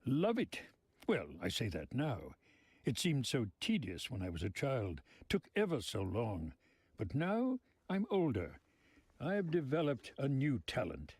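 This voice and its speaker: An actor playing a genteel, sly old mob boss in a video game, speaking in florid, deliberate phrases. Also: male voice, mid-range voice, wildly swooping pitch, a little mellow, breathy edge, no room sound, heavily acted. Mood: musing, sly. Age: older.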